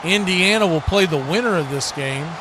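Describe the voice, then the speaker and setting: An American man doing live football play-by-play commentary, conversational between snaps and animated on big plays. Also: high voice, moderate pace, noisy background. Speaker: play-by-play sportscaster.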